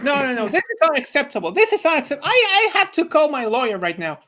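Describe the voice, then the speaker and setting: Woman doing a childlike game hero, very high pitch, stubborn, stammering, melodramatic, comic apology skit. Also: sing-song, quick pace, pushed and bright, heavily acted. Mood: indignant. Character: indignant, childlike, food-obsessed video-game hero apologizing.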